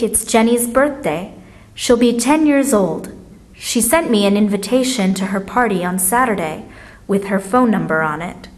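Woman as careful, slow-speaking friendly schoolgirl. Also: medium pitch, natural voice. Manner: careful, deliberate enunciation. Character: Sarah, friendly girl phoning a friend about a party. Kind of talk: scripted language-lesson dialogue, slow careful delivery.

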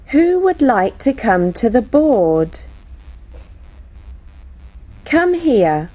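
A woman slowly reading out classroom phrases for teachers, each phrase spoken separately. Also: sits high, mellow. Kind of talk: slow, clearly spoken classroom English read aloud.

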